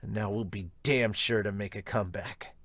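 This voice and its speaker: A man's voice: fairly low pitch, fairly even intonation, normal speed, fairly bright tone, no echo, pitched below the performer's own voice. A man voicing a determined, proud young male baseball player in an earnest, intense manner for a manga dub. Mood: grim resolve.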